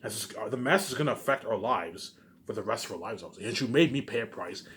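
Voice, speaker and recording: A man's voice: lowish voice, expressive, fast, fairly bright tone. A man giving a rambling, unscripted vlog monologue, emphatic and repetitive, circling back over his phrases and swearing at times. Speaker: vlogger.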